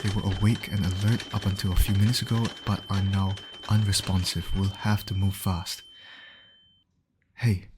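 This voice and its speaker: A man acts out a male medic in an audio roleplay, with a fairly low voice. He talks straight to his injured patient, steady and caring even under pressure. Some background noise and emergency ambience sit behind him. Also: normal speed, natural voice. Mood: urgent, alarmed, then reassuring. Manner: reassuring, talking directly to an injured patient. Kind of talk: ASMR roleplay voice acting.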